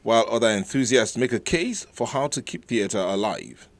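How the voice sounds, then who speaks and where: A man's voice: on the low side, animated, normal speed, over loud music. A man reading scripted television arts news narration as a reporter.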